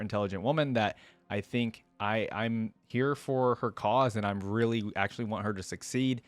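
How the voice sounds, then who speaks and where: A man's voice: lowish voice, dry recording. A man giving casual, unscripted reaction commentary as a video host, talking in a rambling, conversational way. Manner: rambling, conversational, often hedging with "like" and "you know".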